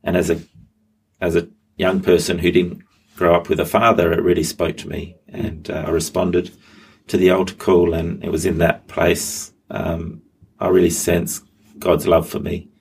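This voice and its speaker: A man's voice: low-pitched, flat delivery, normal speed, gravelly, darkish tone, slightly rough. A middle-aged man, a pastor, telling his story as an interview guest, hesitant with many ums and restarts. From Australia. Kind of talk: interview guest, personal testimony.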